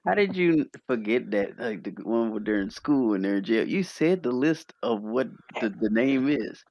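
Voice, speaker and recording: Male podcast co-host, lowish creaky voice with animated swings, casual and conversational. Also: normal speed, no room sound, lo-fi sound. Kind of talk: casual podcast chat among friends.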